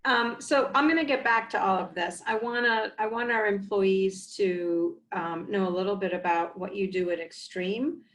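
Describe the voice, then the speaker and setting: A woman's voice: mid-range voice, normal speed, hardly any pauses, fairly bright tone, rough recording. Woman hosting a video-call interview on a headset. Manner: friendly, encouraging, short affirmations. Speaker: host. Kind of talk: casual work interview. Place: home office, indoors.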